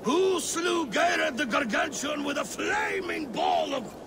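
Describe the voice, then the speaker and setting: An actor playing a boastful, hot-headed Norse thunder god in a video game, bellowing and belligerent. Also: male voice, extremely high pitch, big pitch swings, a little slow, raised voice, a crowd in the background, heavily acted. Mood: belligerent boasting. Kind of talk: video game cutscene acting.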